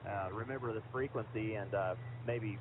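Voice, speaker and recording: A man's voice: fairly low pitch, normal speed. Easygoing guy on ham radio, tinny narrow sound, lots of static. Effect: radio transmission. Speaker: amateur radio operator, fairly new to the hobby. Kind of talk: casual ham radio chat. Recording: narrow-band shortwave radio, clear static noise.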